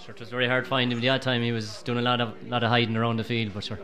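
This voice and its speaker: A man's voice: mid-range voice, little pitch movement, a bit bright. A middle-aged Irish man, a former goalkeeper, talking easily with plenty of "you know" in a pub. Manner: quick, easygoing, lots of "you know". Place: busy pub, indoors.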